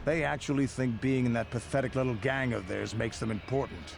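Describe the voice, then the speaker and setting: Male actor: scornful game mercenary, gruff with gravelly creak, mid pitch, music behind. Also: fairly quick, natural voice. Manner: gruff, dismissive. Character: tough, contemptuous mercenary hard man.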